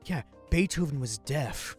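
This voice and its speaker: Male co-host, high voice, dry teasing wit, faint music underneath. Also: expressive, unhurried. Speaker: co-host, gamer. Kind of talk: casual let's-play commentary.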